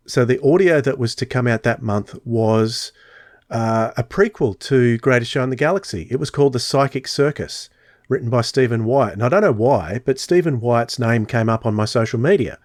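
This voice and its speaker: A man's voice: mid-range voice, no room sound, studio quality. A man hosting a podcast, chatting casually and telling stories with many fillers. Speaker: podcast host.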